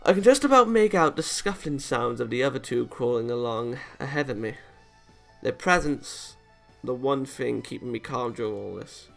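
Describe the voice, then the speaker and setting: Creaky-voiced man narrating a visual novel. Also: medium pitch, expressive, moderate pace, a bit bright, faint music behind. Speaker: let's-play host reading a visual novel aloud. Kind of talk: expressive read-aloud narration of a game.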